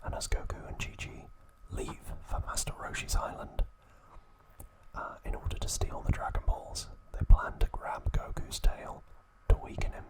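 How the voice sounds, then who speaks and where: Whispering man, soft ASMR narration. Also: normal speed, low-quality recording. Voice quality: breathy, unvoiced whisper. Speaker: ASMR host.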